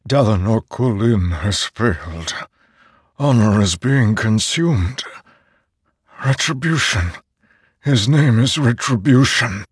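An ancient, weary herald from a fantasy audiobook, voiced by a man. He speaks slowly and gravely with a strained weight to each phrase. The sound is dry and close. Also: fairly low pitch, animated, no echo, pitched above the performer's own voice, heavily acted. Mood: anguished, grave. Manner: solemn, deliberate. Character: aged, weary, grave male immortal herald.

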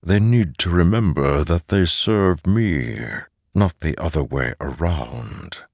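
A man voicing a mysterious disembodied presence in an audiobook, calm, self-assured and imperious. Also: sits low, takes it slowly, creaky, no room sound, clean studio sound, full character voice.

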